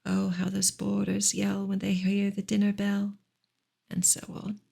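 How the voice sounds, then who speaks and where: A woman reading a novel aloud as ASMR in a soft, breathy whisper, with slow, gentle, measured delivery. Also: mid-range voice, monotone. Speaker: ASMR reader of a classic novel.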